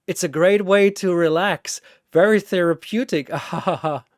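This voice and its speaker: Man playing a chirpy, oblivious nice guy, higher-pitched. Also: normal speed, dry recording, studio quality. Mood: cheerful. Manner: chirpy, earnest. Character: cheerful, oblivious man, naive friendly peacemaker.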